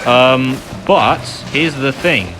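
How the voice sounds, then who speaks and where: A man's voice: mid-range voice, slow. Apologetic man commentating over game music. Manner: apologetic, self-deprecating. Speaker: gamer narrating a playthrough. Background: loud video game music.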